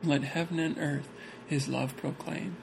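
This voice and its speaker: A man's voice: a little high, steady tone, unhurried, creaky, noisy background. A man reading a church talk aloud and chatting casually about it, breaking into jokes and laughter between passages.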